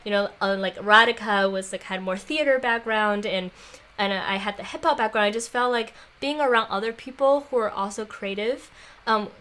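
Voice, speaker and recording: A young woman talking in a casual interview, thoughtful and warm, laughing often and using plenty of fillers. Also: mid-range voice, normal speed. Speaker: guest, former apprentice. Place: makeshift home studio on an island.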